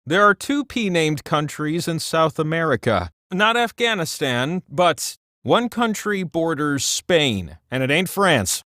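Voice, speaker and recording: A man voicing a whimsical, all-knowing talking wheel that hosts a trivia video game, theatrical and playful, with drawn-out emphasis. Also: high voice, sharp tone, dry recording, studio quality, full character voice. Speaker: game show host. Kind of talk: scripted trivia game hosting.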